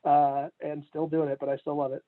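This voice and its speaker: Man, about forty, campaign manager, relaxed and chatty, higher voice, thin phone-like sound. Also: brisk. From Canada, raised in Atlanta. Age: 40s. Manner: relaxed, laughs often, many fillers. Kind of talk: casual remote interview.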